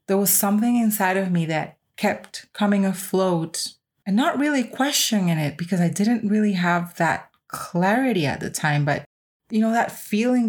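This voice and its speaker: A woman in her thirties or older giving a personal, reflective podcast monologue with emphatic, repeated phrasing. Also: mid-range voice. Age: 30s or older. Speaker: podcast host.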